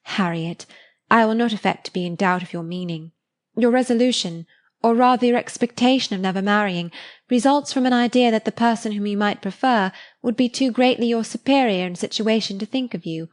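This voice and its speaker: A woman voicing a self-assured young gentlewoman who speaks in a measured, firm way, in a storybook reading. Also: fairly high pitch, lightly acted. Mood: earnest.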